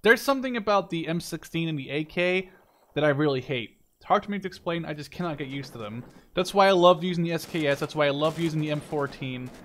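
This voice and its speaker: A man's voice: on the high side, fast talker, a bit bright. A man giving casual, rambling gameplay commentary, informal and given to mild swearing. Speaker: gamer and video host.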